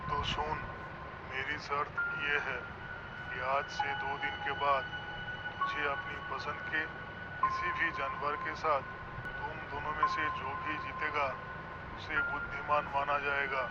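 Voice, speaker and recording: A man playing a stern, commanding lion king who speaks with authority in an animated moral story. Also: fairly high pitch, a little slow, lightly acted. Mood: challenging. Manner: authoritative, admonishing. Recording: dull lo-fi sound with loud noise.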